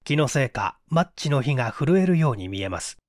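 A man narrating a mystery story in a measured, deliberate storytelling manner. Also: medium pitch, sing-song, dry recording, clean studio sound. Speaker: audiobook narrator.

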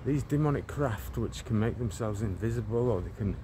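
A man's voice: on the low side, expressive, a little fast. A man in his forties from England, praying and preaching fervently while walking down a residential street, filming on a phone held at arm's length, often rambling and restarting his words. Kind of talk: walk-and-talk prayer and preaching vlog. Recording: outdoor street noise with passing traffic.

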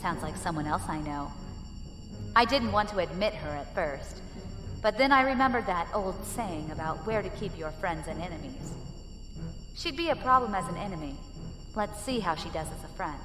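A woman's voice: fairly low pitch, lively intonation, normal speed, natural voice. A woman playing a commanding, wary, impatient space pirate leader in an audio drama. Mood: measured, wary. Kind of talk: audio drama acting and narration. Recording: long reverb, music behind.

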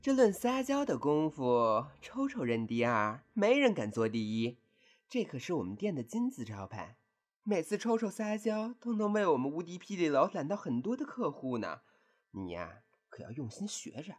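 一位男演员在广播喜剧中饰演爱指挥人、说话夸张有力的店老板。. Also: male voice, fairly high pitch, sing-song, moderate pace. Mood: instructing. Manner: commanding, exaggerated, emphatic. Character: bossy, showy shop boss coaching staff. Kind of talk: Chinese audio comedy drama acting.

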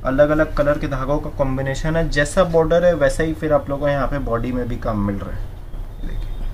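A young man selling sarees wholesale in a shop, running a brisk sales pitch and repeating the prices in English. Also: slight background noise, low-quality recording. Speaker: saree seller. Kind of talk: wholesale product showcase. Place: indoor saree shop floor.

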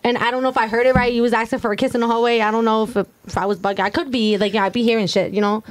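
A woman's voice: fairly high pitch, fairly even intonation, racing, dry recording. A woman, a guest on a podcast, asking a question in a hesitant, rambling way, speaking into a studio mic. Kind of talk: casual chat. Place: indoor podcast studio, sitting on a couch. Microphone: studio mic on a boom arm.

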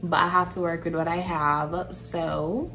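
A woman's voice: lowish voice, level tone, a little slow, bright, forceful tone, soft background music. A woman in her twenties with a Jamaican accent, chatting casually in informal, Creole-tinged phrasing as she films a cooking vlog in a home kitchen. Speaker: cooking vlogger. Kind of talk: casual chat while cooking.

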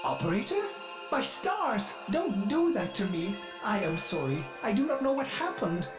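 A man's voice: sits high, very animated, normal speed. A man voicing a fretful, eager ship computer in a video game, dramatic and servile in manner. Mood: frantic, apologetic. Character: fretful, eager ship computer mind, male, fragmented and apologetic. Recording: echoey, music behind the voice.

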